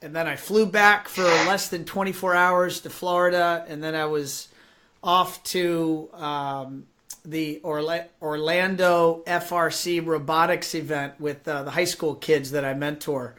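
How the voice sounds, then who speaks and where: A man hosting a live-streamed interview show, chatty and upbeat with many fillers, his voice raspy and hoarse from allergies. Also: on the high side, steady tone, measured pace, bright, forceful tone. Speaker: host.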